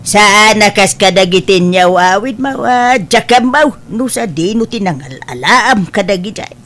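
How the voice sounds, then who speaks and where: Babae nga agak-akto kas naayat ken naannad nga ina. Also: female voice, mid-range voice, expressive, measured pace, fairly bright tone. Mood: earnest. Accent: Ilocano. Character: caring, doting mother of a grown son. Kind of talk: Ilocano radio-style family drama acting.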